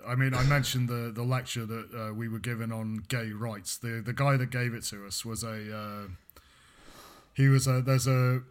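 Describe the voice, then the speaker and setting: A man's voice: medium pitch, steady tone, moderate pace. Rambling young Englishman chatting. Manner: rambling, many restarts and "like" fillers. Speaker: podcast co-host. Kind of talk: casual chat.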